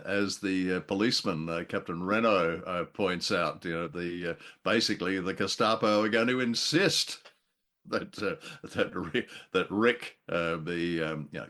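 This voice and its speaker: Low-voiced man in casual film discussion. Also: a bit bright. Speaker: podcast co-host.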